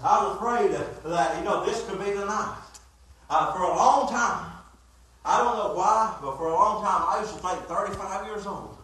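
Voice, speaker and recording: A man's voice: sits high, moderate pace, sharp tone. A man in his fifties preaching a sermon, peppering his lines with "uh" and folksy repeated refrains, recorded by a phone from the pews of a church. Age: 50s. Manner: heavy "uh" fillers, repeated refrains, plain folksy grammar. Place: church sanctuary, mid-sized indoor room. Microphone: phone camera from the pews, far from speaker. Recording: echoey church heard from far off.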